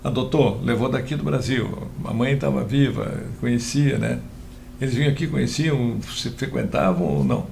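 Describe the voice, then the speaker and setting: Male talk host, heavily gravelly mid-pitched voice, informal short questions, some background noise. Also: animated, normal speed, a little mellow. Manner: short questions and frequent listening murmurs. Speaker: interview host. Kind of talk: casual conversational interviewing.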